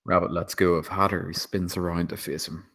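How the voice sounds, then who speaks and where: Deep-voiced man narrating a screenplay. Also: level tone, brisk, a bit bright. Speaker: stage-direction narrator.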